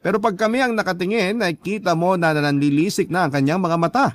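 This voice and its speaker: A man's voice: high voice, fairly quick, dry recording, studio quality. A man with a Filipino accent giving scripted first-person narration for a radio drama.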